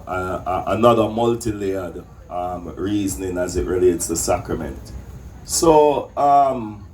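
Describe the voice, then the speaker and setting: A man with a Jamaican accent speaking off the cuff on a panel, halting and reflective, pausing between phrases. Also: low voice, darkish tone, slight background noise. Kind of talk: unscripted panel speech.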